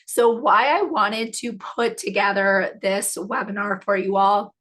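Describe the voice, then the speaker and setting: Brief: woman presenter, live webinar host, hoarse and scratchy from a fading voice, upbeat and reassuring. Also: medium pitch, fairly even intonation, measured pace, a bit bright. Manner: upbeat, reassuring, filler-heavy. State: losing her voice, scratchy. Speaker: nursing education director hosting a webinar.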